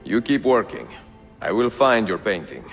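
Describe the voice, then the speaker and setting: A gallant, seasoned male assassin from a video game. He has an Italian accent and a low, gravelly voice, composed and determined. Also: sing-song, moderate pace, fairly bright tone, soft background music, exaggerated voice. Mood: gentle, assured. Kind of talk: dramatic game cutscene acting.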